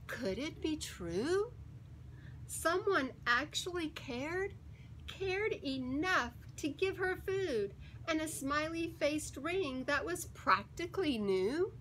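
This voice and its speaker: A woman reading a children's picture book aloud in an expressive, deliberate and emphatic storytelling manner. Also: high voice, a little hiss or hum, poor audio. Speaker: story time reader.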